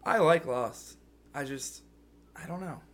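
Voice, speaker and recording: A man's voice: fairly high pitch, slow pace, a bit bright. A man co-hosting a podcast in casual chat, his voice scratchy from being sick, rambling with lots of "like" and restarts. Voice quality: gravelly. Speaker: podcast co-host.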